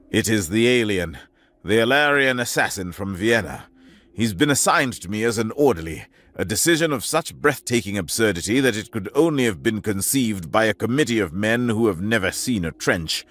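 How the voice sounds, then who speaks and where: A weary army field surgeon, voiced by a male narrator in an audiobook, speaking in a low, flat, cold voice that sharpens into a vicious hiss when provoked. The voice is fairly deep.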